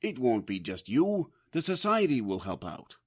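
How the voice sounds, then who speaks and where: An old man in an audio drama with a mid-range voice. He is gruff in manner and blunt, prodding like a loyal friend who has no time for nonsense. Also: medium pitch, sing-song, moderate pace. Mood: concerned, reproachful. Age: older.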